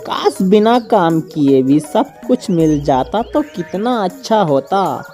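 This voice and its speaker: A woman's voice: lowish voice, lively intonation, slow. A woman doing a lazy, greedy village lad. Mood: mocking. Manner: mocking, gleeful. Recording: cartoon dub with music behind.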